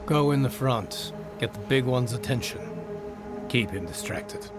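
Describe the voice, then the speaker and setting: A man's voice: medium pitch, lively intonation, measured pace, close to an everyday voice. An actor playing a grim, curt male bounty hunter in a video game, terse and commanding with dry menace. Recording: loud music over the voice.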